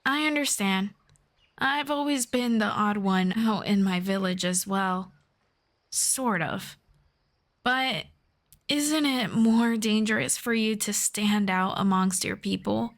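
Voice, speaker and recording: Woman voicing a slow-spoken, gentle elf friend. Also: medium pitch. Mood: concerned, curious. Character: gentle, teasing young elf friend of the forest. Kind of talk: roleplay audio drama, ASMR.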